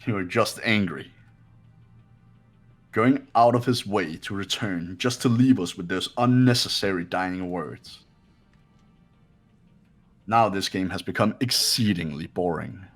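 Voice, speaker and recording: A man voicing an arrogant, coldly aloof young man in a fan game dub, dismissive and deliberate. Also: low-pitched, a bit bright, faint music behind, exaggerated voice. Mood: cold, contemptuous. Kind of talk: fan-dubbed visual novel acting.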